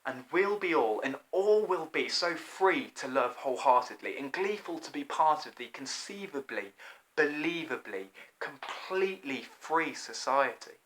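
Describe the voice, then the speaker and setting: Higher-voiced young man reading a storybook. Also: lively intonation, a bit bright, poor audio. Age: 20s. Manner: theatrical, deliberate rhyming delivery. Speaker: author reading own children's book. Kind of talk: expressive rhyming storybook narration. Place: small plain room indoors.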